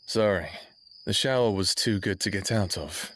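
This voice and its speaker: A man's voice: on the low side, normal speed, fairly bright tone, a little hiss or hum, natural voice. A man playing a defiant, bitter young human captive in a vampire audio drama, with sardonic, brooding, profane delivery, both narrating and speaking. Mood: guarded, wry. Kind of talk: first-person audio drama narration and dialogue.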